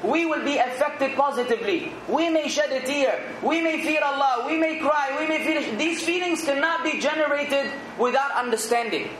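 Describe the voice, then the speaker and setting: A man's voice: extremely high pitch, moderate pace, hardly any pauses, reverberant, noisy background. A man giving an earnest Islamic sermon, exhorting his listeners and now and then rising to emphatic outbursts. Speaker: Islamic preacher.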